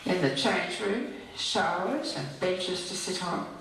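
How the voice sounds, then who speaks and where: Older female poet, unhurried monologue reading; very echoey playback with faint hiss behind. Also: fairly low pitch, animated, a bit bright, distant mic, soft background music. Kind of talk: reading her own poem as a monologue. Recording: played over echoey hall speakers, faint noise. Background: faint hiss of hospital oxygen.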